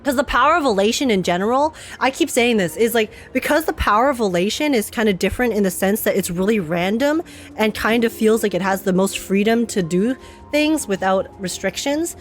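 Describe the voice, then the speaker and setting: A young woman streamer talks through a game in a loose, animated way, often breaking into laughs. Her voice sits a little high. Faint music plays beneath her talk. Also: a little fast. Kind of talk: casual reaction commentary.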